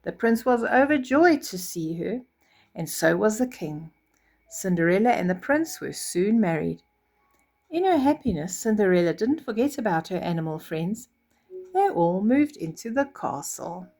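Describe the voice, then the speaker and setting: A woman's voice: mid-range voice, moderate pace. A woman storyteller reading a children's fairy tale expressively, with chatty asides and acted-out reactions. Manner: animated, acts out reactions.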